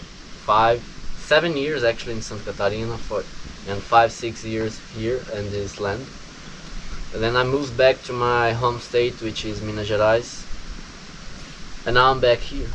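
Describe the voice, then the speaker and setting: Brazilian guy in his 20s, portuguese accent, talks real slow, lots of restarts. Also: medium pitch, a bit bright, a little hiss or hum, low-quality recording. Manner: halting, with many restarts and pauses. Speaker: vlogger. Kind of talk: casual talk to camera. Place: countryside home.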